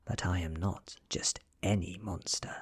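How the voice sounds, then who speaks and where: British man, very low pitch, breathy, unhurried, hushed ASMR story narration, frequent whispering. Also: fairly even intonation. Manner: hushed, often dropping to a whisper. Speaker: audiobook reader.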